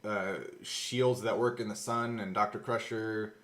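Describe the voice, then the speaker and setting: A man's voice: lowish voice, fairly even intonation, measured pace, fairly bright tone. A man co-hosting a casual behind-the-scenes chat, explaining things in a rambling, nerdy way with some profanity. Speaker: podcast co-host and game master.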